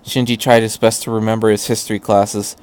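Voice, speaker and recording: A man's voice: lowish voice, little pitch movement, fairly quick, darkish tone, clean tone, no echo. A man reading a story aloud as narrator, in a scripted storytelling style. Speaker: fan fiction reader.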